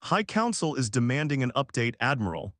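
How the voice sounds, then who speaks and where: A man's voice: medium pitch, big pitch swings, fairly quick, a bit bright, dry recording. An alien communications officer, read by a male narrator, gives clipped and urgent military reports. The sound is very dry and close, like an audiobook.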